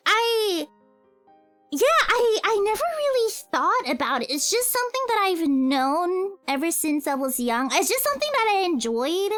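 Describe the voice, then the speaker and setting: Very high-voiced young woman streamer. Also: big pitch swings, moderate pace, fairly bright tone, soft background music. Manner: rambling, self-correcting, giggly. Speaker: streamer and cover singer. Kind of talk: casual livestream Q&A chat.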